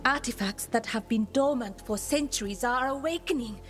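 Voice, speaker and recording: A woman's voice: a little high, moderate pace, soft background music, lightly acted. A woman voicing an earnest, serious magic expert. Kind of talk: video game cutscene dialogue.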